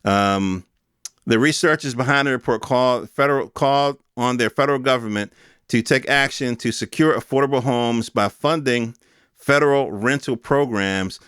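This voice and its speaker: An American man with an average-pitched voice speaks as a podcast host. He delivers unscripted opinion on the news, colloquial and emphatic, often repeating himself to drive a point. The sound is close and dry, with hardly any room on it. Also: fairly bright tone, clean tone, no echo. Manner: emphatic, repetitive, colloquial rhetorical ranting.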